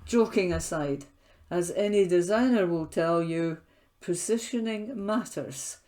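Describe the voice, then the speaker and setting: A woman reads a written feature article aloud at a very unhurried pace. Her voice sits a little low, and she records as a volunteer newspaper reader from home. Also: a little mellow.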